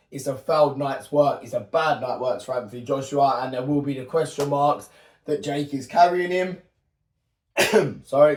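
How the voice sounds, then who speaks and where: Male boxing YouTuber, hoarse with flu, blunt and sweary, a bit high, off-mic in a roomy space. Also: a little mellow, recorded from a distance. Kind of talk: casual prediction monologue. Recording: echoey room, off-mic.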